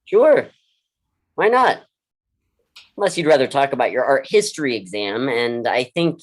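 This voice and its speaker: An actor plays a blunt, world-weary mentor in a staged drama. The voice sits at a middling pitch, and the delivery is deliberate and earnest, with a dry frankness. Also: big pitch swings. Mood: dry, encouraging. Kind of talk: staged play reading, dialogue and monologue.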